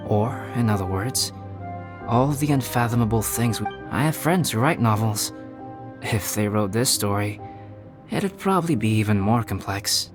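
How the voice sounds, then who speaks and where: An actor playing a calm, scholarly young male alchemist in a game, speaking in measured, formal, explanatory phrases. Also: fairly low pitch, close to an everyday voice. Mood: composed, reflective. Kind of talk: scripted game dialogue, explanatory. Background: music.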